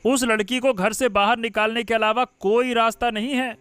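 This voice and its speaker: A man's voice: very high-pitched, steady tone, moderate pace, fairly bright tone, clean tone. A man playing an arrogant, sneering grown son who plots in a cartoon family drama. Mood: plotting. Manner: sneering, contemptuous. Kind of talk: Hindi cartoon moral-story dubbing.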